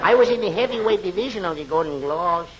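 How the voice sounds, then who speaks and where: An actor playing an excitable, boastful comic Mexican man with a Mexican accent in 1940s radio comedy. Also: high voice, quick pace. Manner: exaggerated comic delivery. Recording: noisy, dull old radio recording.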